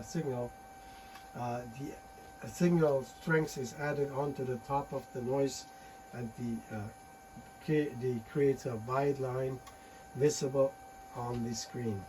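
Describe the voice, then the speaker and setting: Guy giving a tech talk, mid pitch, stumbly, keeps restarting words. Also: a little mellow, slight background noise, poor audio. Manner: halting, frequent uh and restarts. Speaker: presenter at a technical conference. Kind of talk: technical presentation, reading from slides.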